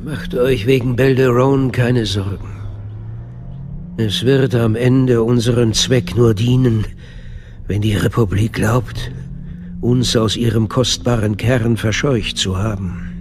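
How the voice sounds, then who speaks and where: An actor playing a calculating, commanding Sith master in a radio drama, speaking in a deliberate, imperious way. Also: male voice, mid-range voice, steady tone, close to an everyday voice. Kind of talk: German science-fiction radio drama acting. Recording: dramatic music under the voice.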